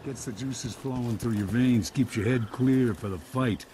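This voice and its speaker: A man's voice: mid-range voice, normal speed, background noise, close to an everyday voice. An actor playing a credulous working man in a video game. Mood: curious. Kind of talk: video game character acting.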